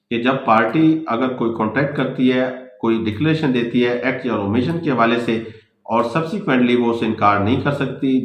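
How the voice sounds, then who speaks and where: A man's voice: mid-range voice, a bit bright. A man with a Pakistani accent giving an explanatory law lecture, didactic and restating each point as he goes. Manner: didactic, repeats points in rephrased form. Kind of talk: explanatory lecture, reading English points then explaining in Urdu. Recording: echoey room sound.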